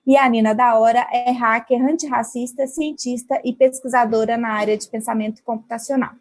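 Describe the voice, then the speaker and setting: A woman's voice: fairly high pitch, steady tone, fairly quick. Friendly woman moderating a panel. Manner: friendly, introduces guests.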